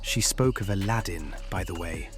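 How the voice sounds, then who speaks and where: A man's voice: low voice, normal speed, over music, close to an everyday voice. A man playing a roguish, theatrical treasure hunter in a fantasy audio roleplay, cheeky and dramatic in his delivery. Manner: cheeky, dramatic, emotionally swinging.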